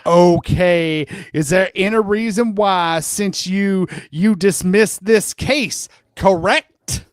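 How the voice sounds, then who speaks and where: A man's voice: sits high, slow, clean tone, no echo, studio quality. A man playing a lawyer questioning a witness in a dramatic reading of a deposition, probing and rephrasing his questions. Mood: pressing.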